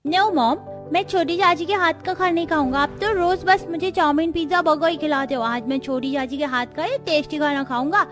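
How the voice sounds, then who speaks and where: A woman's voice: high-pitched, brisk, hardly any pauses, a bit bright, soft background music, voice pushed up. A woman voicing an eager, outspoken little boy in a cartoon, with a childlike complaining manner.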